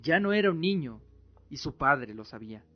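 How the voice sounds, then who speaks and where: Higher-voiced male drama narrator over music. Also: very animated, moderate pace, lo-fi sound. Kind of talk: scripted dramatic storytelling narration.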